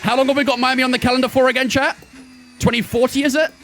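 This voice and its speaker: A man's voice: very high voice, level tone, fast, a bit bright, a little hiss or hum. A man in his twenties streaming a racing game, giving chatty running commentary and talking to his chat. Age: 20s. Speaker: gaming streamer. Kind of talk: casual live-stream commentary while racing.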